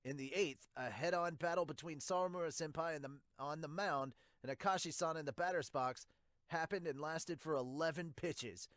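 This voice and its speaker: A man voicing a polite, chatty young baseball player recounting events in a lively amateur manga dub. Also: on the high side, normal speed, dry recording, clean studio sound, pitched above the performer's own voice, close to an everyday voice.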